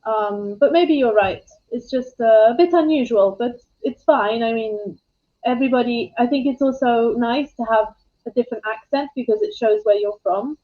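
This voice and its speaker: A woman chatting as an online English tutor on a video call, friendly and quick to laugh. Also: on the high side, phone-quality sound. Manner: friendly, laughs easily, hesitant fillers. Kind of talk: casual video-call chat.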